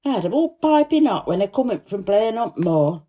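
A woman playing a cheerful, warm-hearted young housemaid who gossips eagerly in broad Yorkshire dialect, in a storybook reading. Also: mid-range voice, big pitch swings, fairly quick. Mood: happy, excited.

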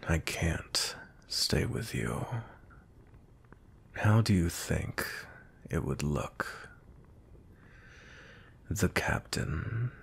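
Man voicing a very deep, gravelly vampire knight. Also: exaggerated voice. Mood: guarded, teasing menace. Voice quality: breathy, husky, often near whisper. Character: cold, grumpy male vampire knight captain. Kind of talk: intimate ASMR roleplay.

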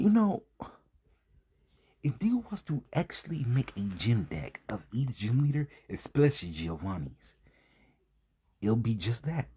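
A man with a low, very husky and breathy voice talks through an informal video commentary. His pitch swings widely as he stresses points and poses rhetorical questions. He takes his time, often halting and repeating himself. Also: dark tone. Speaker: host.

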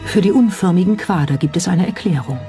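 Documentary narrator, mellow voice, bit of music behind. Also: medium pitch, animated, brisk. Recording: music under the voice. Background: background music.

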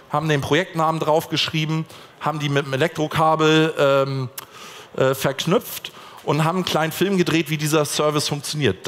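A man's voice: on the high side, fairly bright tone. A man in his thirties from Germany, giving a conversational conference talk on stage with a lot of fillers and restarts. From Hamburg, Germany. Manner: gestures while walking the stage. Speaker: conference speaker. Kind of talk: conference keynote presentation. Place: conference stage in a large hall. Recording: long hall reverb.

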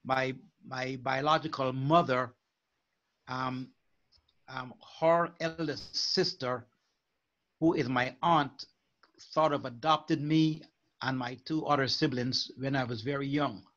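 Older man, from Guyana, life coach guest, earnest, measured, muffled call audio. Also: medium pitch, steady tone, fairly bright tone. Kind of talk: video call interview. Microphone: video call.

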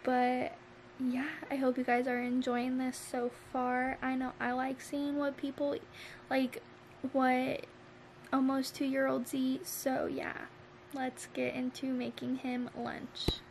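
A woman's voice: high voice, monotone, takes it slowly, vocal fry, a little mellow, slight background noise. Chatty young woman vlogging at home. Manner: chatty, addresses viewers directly. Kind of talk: casual vlog talk to camera. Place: home living room, indoors.

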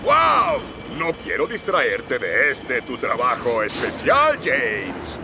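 Slow, swooping, teasing cartoon crane. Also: male voice, medium pitch, huge pitch swings, background noise, exaggerated voice. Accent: Latin American. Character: teasing male dockside crane. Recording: loud music behind dialogue.

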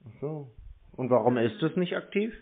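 Male caller, average pitch, casual and innocently inquisitive, narrow phone-line audio. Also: animated, moderate pace. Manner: feigned naive, questioning. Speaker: prank caller baiting phone scammers.

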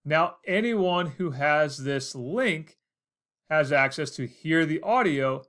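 A man's voice: fairly high pitch, lively intonation, slow pace, a bit bright. An American man in his forties narrating a casual instructional screencast, explaining things step by step. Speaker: tutorial host.

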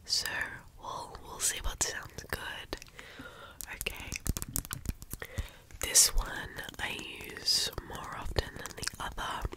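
A young woman whispering softly for ASMR in her bedroom, sounding congested and a bit croaky from a cold, with frequent ums. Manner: soft whispering, slow and unhurried with frequent ums. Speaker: ASMR creator. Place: small bedroom indoors. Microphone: close studio mic with foam cover.

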